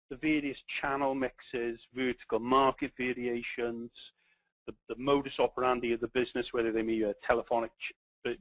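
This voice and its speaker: A middle-aged man with a Welsh accent presenting a business webinar, pausing often with ums and restarting his sentences. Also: medium pitch, husky, no room sound, rough recording. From Wales. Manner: halting, many ums and restarts.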